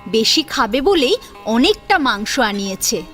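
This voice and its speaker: A woman's voice: on the high side, big pitch swings, a little slow, a bit bright, soft background music. A woman narrating a scripted family drama as a storyteller, in a dramatic audio-story style.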